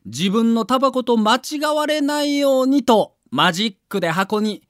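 A man's voice: very high-pitched, expressive, moderate pace, fairly bright tone, no room sound, clean studio sound. A man reading a scripted documentary narration with a theatrical storyteller's delivery.

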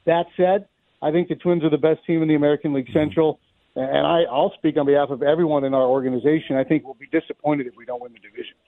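A middle-aged man with a fairly high voice talks baseball as a radio guest. He is conversational and wry, analysing like a play-by-play announcer. The sound is a thin, phone-like line with some room echo. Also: fairly even intonation, fast. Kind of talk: unscripted sports analysis in a live radio interview. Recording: roomy, thin narrow-band phone line.

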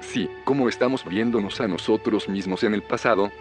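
An actor as a doubting male scientist with a lowish voice and a grumbling, sardonic edge. It is audio drama acting with clear music behind. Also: brisk, close to an everyday voice. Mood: baffled.

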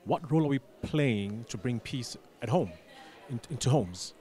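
A male studio guest gives explanatory answers in a radio interview. He is deliberate, often repeating a word or phrase while he forms the next point. His voice has an average male pitch. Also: animated, dark tone.

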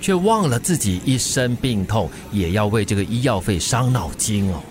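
Animated older Singaporean delivery man, acted by a man. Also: lowish voice, moderate pace, over music, lightly acted. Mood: rueful. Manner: chatty, avuncular, sighing interjections. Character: older male delivery driver, kindly, world-weary, good-humoured. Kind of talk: Mandarin radio drama acting.

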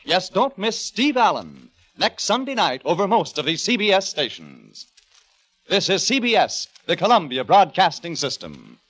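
High-pitched, animated vintage radio announcer man. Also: moderate pace, slightly rough. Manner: showy promotional delivery. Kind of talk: 1950s radio announcing, scripted promo.

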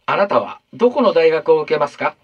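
Earnest, lively 1950s radio reporter. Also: male voice, a little high, a little fast, husky, dry recording, lo-fi sound. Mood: kindly, formal. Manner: polite, formal, carefully enunciated. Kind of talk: radio drama, 1950s formal reporting and interviewing.

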